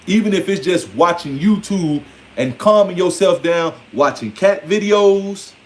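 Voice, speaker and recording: A man in his forties with an American accent and a high voice speaks informally to his followers. His delivery is streetwise and emphatic, rambling from thought to thought and often slipping into quoted asides. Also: measured pace. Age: 40s. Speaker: online speaker. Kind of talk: informal spoken monologue to followers.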